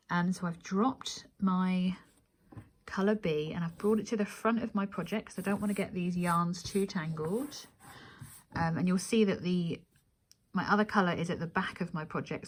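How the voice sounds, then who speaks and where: A woman hosting a crochet tutorial, giving step-by-step instructions and counting aloud as she goes. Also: medium pitch.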